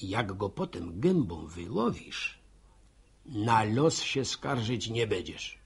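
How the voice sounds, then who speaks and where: Jovial postman for radio drama: husky, slow, animated, chatty. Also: on the low side, exaggerated voice. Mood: genial, folksy. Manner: chatty, folksy, chuckling storyteller. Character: jovial, folksy village postman giving New Year wishes.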